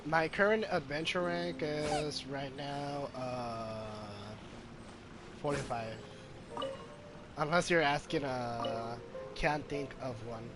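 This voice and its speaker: A man's voice: on the high side, takes it slowly. A man giving casual live gameplay commentary, hesitant and thinking aloud as he goes. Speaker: game streamer. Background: game music.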